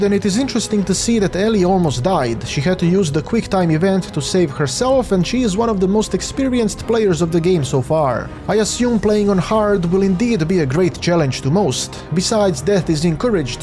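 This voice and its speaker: High-pitched, mellow male gameplay narrator. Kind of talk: scripted gameplay commentary. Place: voice-over with music behind.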